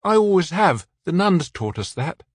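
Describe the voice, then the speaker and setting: A man's voice: a little high, lively intonation, moderate pace, no room sound, clean studio sound, pitched above the performer's own voice. A man voicing a weary, remorseful man in his forties. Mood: resigned.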